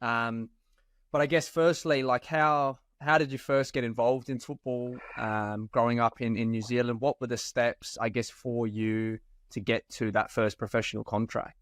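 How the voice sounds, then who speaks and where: A man's voice: medium pitch, moderate pace, clear, resonant tone, no echo. Chatty male podcast co-host, dry sound. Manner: chatty, swears casually, animated asides. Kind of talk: casual podcast chat.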